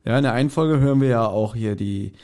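A man's voice: medium pitch, fast, a little mellow, clear, resonant tone. A man co-hosting a podcast, chatting casually and with opinions about an audio drama. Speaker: podcast host. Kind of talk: casual chat reviewing an audio drama, reading aloud.

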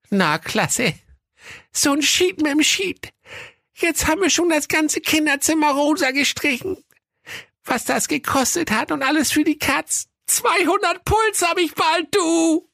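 A woman's voice: high voice, fairly bright tone, dry recording, studio quality, exaggerated voice. A woman playing a flustered, whiny, dramatic young woman in a comic fairy tale audio play. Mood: exasperated. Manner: stammering, exasperated.

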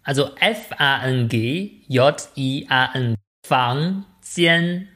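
A woman's voice: rumbling low, expressive, slow pace, fairly bright tone. A woman whose first language is Mandarin, speaking with a Chinese accent as a podcast co-host, hesitant, with many filler sounds. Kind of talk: casual podcast chat.

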